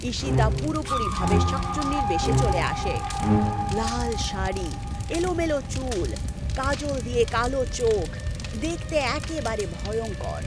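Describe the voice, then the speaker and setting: A woman narrating a scripted horror story as the storyteller. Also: fairly high pitch. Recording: loud music behind the voice.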